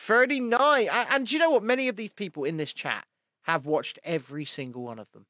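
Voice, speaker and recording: A man's voice: sits high, brisk, bright, forceful tone, no echo. A man co-hosting a casual live-stream podcast, chatty and jokey, rambling through restarts. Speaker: podcast co-host.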